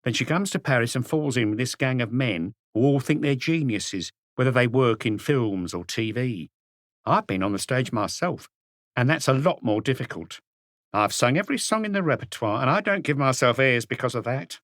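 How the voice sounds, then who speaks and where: A man's voice: mid-range voice, expressive, normal speed, a bit bright, dry recording, studio quality, pitched above the performer's own voice, natural voice. A man voicing a plump, gossipy middle-aged woman who runs a café, in an audiobook reading. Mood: indignant. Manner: chattering, scornful, indignant.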